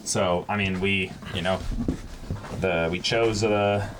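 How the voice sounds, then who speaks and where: A man co-hosting a casual video, talking with excitable, exclamatory enthusiasm and often repeating his words. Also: deep voice, takes it slowly. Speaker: co-host of an unboxing video. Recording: noisy room with clicks and handling sounds.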